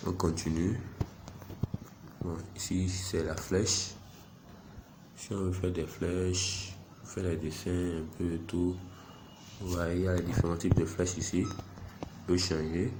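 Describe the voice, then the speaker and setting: A man with a stuffy head cold giving an unhurried, self-deprecating walkthrough of an app, narrating each click. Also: low-pitched, level tone, background noise. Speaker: software tutorial presenter. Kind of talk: screen-recorded app tutorial.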